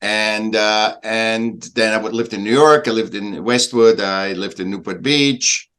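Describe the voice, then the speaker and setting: A man from Vienna with an Austrian accent, a native German speaker, talking casually as a podcast guest in a halting, emphatic way. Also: on the low side, fairly even intonation, a bit bright, clean tone. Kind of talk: casual conversational interview.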